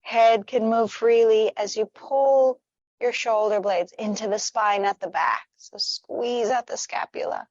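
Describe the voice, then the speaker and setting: Female meditation guide. Soothing, unhurried delivery, medium pitch, close dry sound, a touch muffled. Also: dry recording. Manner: gentle, unhurried, soothing instruction. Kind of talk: guided meditation with gentle stretches.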